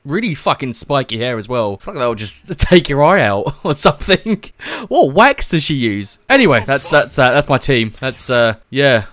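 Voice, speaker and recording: A young man rambles through his gameplay with jokes and frequent laughs. His voice is very lively and jumps around in pitch. The recording is dry and close, with no room sound. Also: clean tone, dry recording. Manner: jokey, rambling, laughs often. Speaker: host. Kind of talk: casual gaming commentary.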